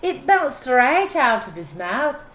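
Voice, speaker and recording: A woman's voice: sits high, very animated, takes it slowly, a bit bright. A woman storyteller and teacher reading a children's storybook aloud, expressively, with simple explanatory asides. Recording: echoey room.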